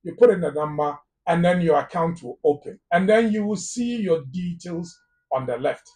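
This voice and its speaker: A man's voice: high-pitched, normal speed, darkish tone, recorded from a distance. A man with a Ghanaian English accent giving immigration advice on a live phone-in talk show, emphatic and repeating words for stress. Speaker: immigration lawyer guest.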